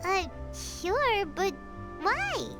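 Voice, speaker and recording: A woman's voice: big pitch swings, drawn-out words, full character voice. A woman voicing a tiny floating girlish game companion who is chirpy, curious and talks about herself in the third person. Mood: surprised. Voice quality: high and squeaky. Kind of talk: video game voice acting. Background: game music.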